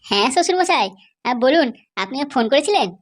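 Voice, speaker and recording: Woman acting young cartoon son-in-law, high pitch, breakneck pace, chatty, eager, deferential. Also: expressive, fast talker. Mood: eager, polite. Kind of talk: cartoon dialogue.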